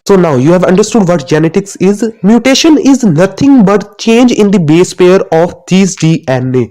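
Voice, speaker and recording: A man's voice: high-pitched, very animated, moderate pace, warm tone. A young man from Asia, a student YouTuber, giving a casual explainer monologue with a chatty, self-mocking teacher tone.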